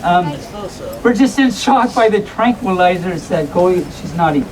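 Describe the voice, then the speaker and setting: A woman's voice: fairly low pitch, expressive, moderate pace, darkish tone. A woman reading comic bedtime stories to a live audience, playful and often interrupting herself with chatty asides. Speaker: live storyteller. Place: hotel convention room before a live audience. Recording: distant mic, noisy audience around.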